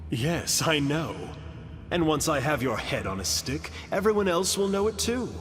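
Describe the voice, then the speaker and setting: A man's voice: fairly high pitch, very animated, moderate pace. An actor playing a cocky, boastful young male vampire in an anime dub, smug and arrogant. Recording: echoing clip under music.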